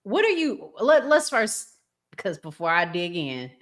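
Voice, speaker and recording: A woman hosting a casual discussion in a deliberate, questioning manner. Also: medium pitch, very animated, a bit bright. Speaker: podcast host.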